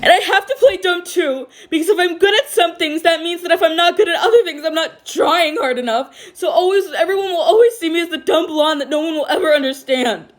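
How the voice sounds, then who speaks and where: A woman's voice: very high voice, a little fast, hardly any pauses, pitched above the performer's own voice. A woman voicing an anguished, self-loathing teenage boy in a dramatic fan fiction reading, pleading with strained emotion. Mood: bitter outburst.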